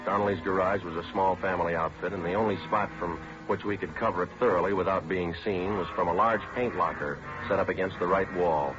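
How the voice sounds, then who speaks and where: A man acting a terse, level-headed police detective in a 1950s radio drama, clipped, matter-of-fact and deadpan. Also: deep voice, brisk, few pauses, music in the background, close to an everyday voice. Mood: dry, factual. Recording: dull old radio recording, background noise.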